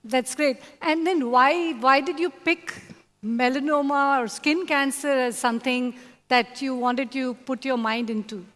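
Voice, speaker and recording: A woman's voice: high-pitched, unhurried, fairly bright tone, long reverb tail. A woman hosting a conference panel interview, hesitant with many fillers. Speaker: host. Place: conference hall.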